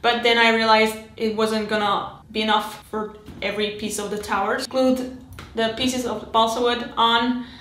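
Woman, 30s, halting casual hobby chat, slightly high voice, roomy home recording. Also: flat delivery, a bit bright. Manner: chatty, halting, frequent restarts and fillers. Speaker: miniature terrain hobbyist. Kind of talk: casual hobby vlog narration.

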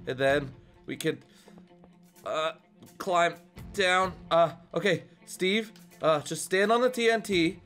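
A man playing an excitable, hesitant superhero leader who gives orders in an improvised video game roleplay. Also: high-pitched, slow pace. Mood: bossy, flustered. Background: music.

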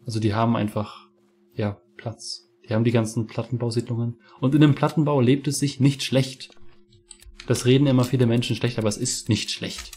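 A man's voice: medium pitch, steady tone, brisk, a little mellow, slight background noise. A young man in his twenties from northeastern Germany, chatting casually and ranting over a game he plays, cursing freely. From Mecklenburg-Vorpommern. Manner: chatty, swears freely, rants. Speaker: gaming streamer. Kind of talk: casual let's play commentary. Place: home room with webcam. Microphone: headset.

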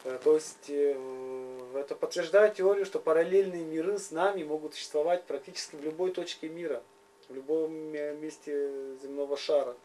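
A man with a dark, relaxed, slightly high voice interprets in an interview. He goes phrase by phrase, haltingly. There is faint noise around him. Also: distant mic, poor audio. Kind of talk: informal spoken interpreting and interviewing.

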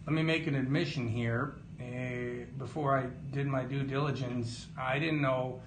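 A man's voice: mid-range voice, fairly even intonation, a little slow. A man hosting a boxing podcast, asking rambling, joking questions in a casual interview. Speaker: podcast host, boxing journalist. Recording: roomy studio with faint hum.

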